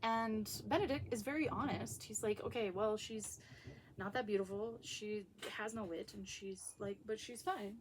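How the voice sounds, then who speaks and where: A woman giving casual, opinionated commentary on literature in an emphatic way, with dramatic pauses. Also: medium pitch, noisy background.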